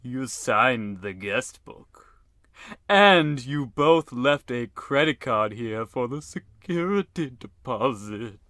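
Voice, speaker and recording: An actor playing a sinister, courteous keeper of a haunted motel in a parody of radio horror, formal and ominous. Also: male voice, medium pitch, animated, slow pace, a bit bright, no echo, clean studio sound. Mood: smug, formal.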